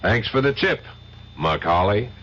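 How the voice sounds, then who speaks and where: An actor playing a dry, probing narcotics agent in an old-time radio drama. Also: male voice, on the low side, animated, unhurried. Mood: urgent. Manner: wry, probing, understated. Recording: dull, hissy old radio recording.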